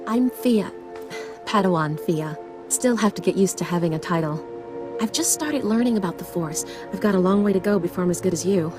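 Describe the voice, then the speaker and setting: An actress playing a starstruck, chatty young apprentice in a video game, informal and wide-eyed. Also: female voice, on the low side, fairly quick, natural voice. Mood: excited wonder. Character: eager, chatty, starstruck young Padawan girl. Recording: loud music behind.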